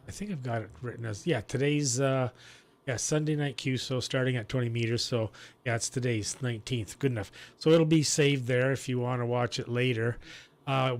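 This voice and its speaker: A man chatting casually as an amateur radio operator, talking in a halting, chatty way with throat clearing. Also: mid-range voice. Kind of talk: casual ham radio chat.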